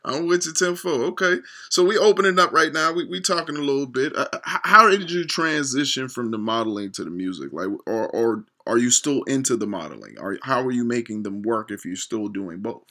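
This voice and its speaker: A male host running a loose, slang-heavy interview, hyping his guest and repeating phrases. His voice sits at a middle pitch with a forceful, bright edge. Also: animated, brisk, hardly any pauses. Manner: slangy, hype, repeats himself. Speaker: show host. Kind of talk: casual interview hosting.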